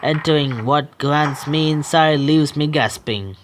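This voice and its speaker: A young man reading a horror visual novel aloud, stumbling and correcting himself with quick apologies and reactions. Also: fairly high pitch, a little hiss or hum.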